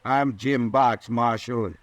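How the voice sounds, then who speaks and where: An actor playing a talkative, domineering cowboy ranch hand with folksy rural speech in an old-time radio Western. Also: male voice, mid-range voice, slow, no echo, natural voice. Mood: folksy, ingratiating.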